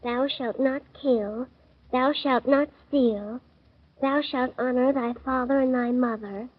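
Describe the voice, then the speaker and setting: A woman voicing a young girl who recites scripture slowly and solemnly in a 1930s radio drama, heard on an old broadcast recording. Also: high-pitched, steady tone, phone-quality sound.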